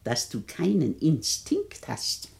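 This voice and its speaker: An actress playing a bedridden, rich old widow in a 1960 German radio play, imperious, teasing and dryly mocking in articulate speech. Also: female voice, low-pitched, wildly swooping pitch, slow, vocal fry, a little mellow, exaggerated voice. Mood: patronising. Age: older.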